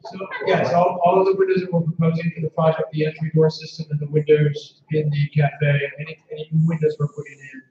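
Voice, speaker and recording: A man explaining building plans at a town hall meeting, halting with fillers and restarts. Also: on the high side, little pitch movement, mellow. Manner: hesitant, many fillers and restarts. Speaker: project designer. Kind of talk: technical answers at a meeting. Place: large indoor meeting hall. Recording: echoey hall, distant, dull, background hum.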